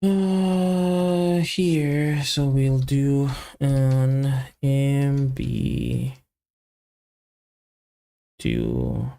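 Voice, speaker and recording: Male developer livestreamer, casual think-aloud delivery, very slow and fragmented, lots of deep vocal fry, mid-pitched. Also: animated, mellow. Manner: halting, muttering to himself in short fragments. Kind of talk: casual live coding commentary, thinking aloud.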